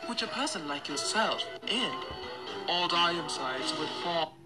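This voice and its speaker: A woman's voice: on the low side, animated, a little slow, loud music behind the voice. An actress playing a sly, confident female spy in a video game. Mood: sly, teasing.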